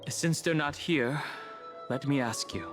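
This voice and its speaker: An actor playing a cautious, loyal young traveling companion in a video game, speaking in a measured, thoughtful way. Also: male voice, fairly high pitch, lightly acted. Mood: concerned. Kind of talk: video game voice acting, conversational dialogue. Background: background music.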